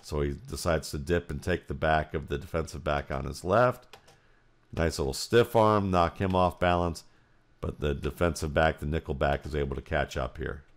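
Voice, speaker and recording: A man's voice: extremely low pitch, fairly even intonation, moderate pace. An American man giving football film breakdown commentary, explaining each detail as he thinks aloud.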